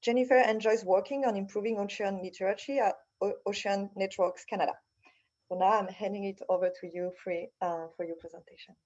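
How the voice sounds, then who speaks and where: A woman with a French accent hosts an online session in a medium-pitched voice. Her delivery is a little halting, and the call audio sounds slightly muffled. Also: steady tone, a little mellow. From France. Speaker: session facilitator, program manager. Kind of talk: welcoming introduction to an online session. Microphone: video call.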